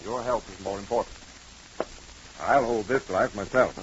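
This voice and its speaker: A low, paternal doctor played by a man speaks on a muffled old radio drama with faint hiss. He is firm and soothing, with a wry edge. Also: moderate pace, natural voice. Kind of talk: 1940s radio drama acting.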